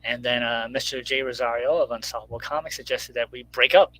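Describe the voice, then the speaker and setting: A middle-aged man chatting casually on a video call, explaining things in a halting way. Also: medium pitch, fairly even intonation, sharp tone. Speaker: guest writer and radio show host. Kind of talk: casual chat. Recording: clearly noisy line with hum.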